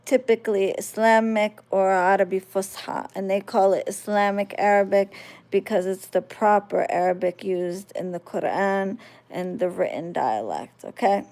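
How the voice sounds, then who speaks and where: A woman's voice: mid-range voice, normal speed, a little mellow. A woman from the United States, casually talking to her viewers and often checking that they follow along. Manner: conversational, checks listeners understand. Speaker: spiritual channel host.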